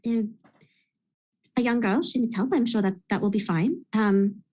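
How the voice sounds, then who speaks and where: A woman's voice: fairly high pitch, moderate pace, dark tone, close to an everyday voice. A woman playing a timid, stammering young lady. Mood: polite. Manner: nervous, stammering, overly polite.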